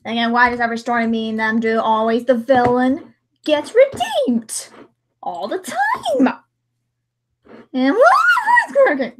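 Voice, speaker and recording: A woman's voice: high-pitched, very animated, slow, a little hiss or hum. A woman chatting casually as host of a livestream, in a talkative, informal way full of exclamations.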